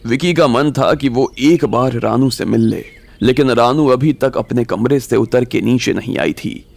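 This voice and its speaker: A man's voice: medium pitch, measured pace, creaky, darkish tone. A man narrating a scripted audio story as its storyteller. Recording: music bed behind the voice.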